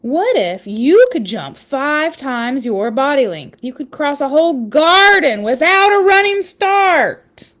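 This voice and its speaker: A woman reading a children's picture book aloud, with expressive storytelling emphasis and chatty asides. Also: high voice, takes it slowly, few pauses. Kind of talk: animated read-aloud storytelling with casual asides.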